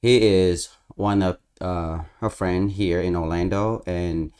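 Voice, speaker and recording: Interview host: male, low voice, casual, halting delivery with searching pauses. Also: steady tone, a little mellow, smooth.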